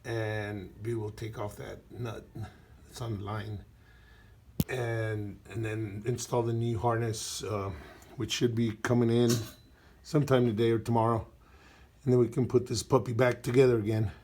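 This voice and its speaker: A man whose voice has gone husky from a cold talks his way through a garage repair job. He speaks into a phone he holds while working under the vehicle. His talk is loose and rambling, full of fillers and throat clearing. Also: medium pitch, little pitch movement, moderate pace, dark tone, a little hiss or hum. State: hoarse with a cold, losing his voice. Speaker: DIY car mechanic vlogger. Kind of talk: casual workshop walkthrough. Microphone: phone camera held while working.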